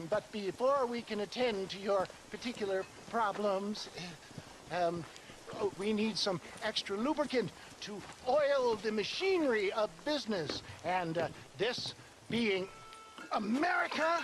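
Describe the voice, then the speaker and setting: A man playing a flamboyant older snake-oil salesman in a video game, genially scheming, with grandiloquent, drawn-out phrasing, throat-clearing and chuckles. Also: high-pitched, noisy background. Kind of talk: theatrical video game acting.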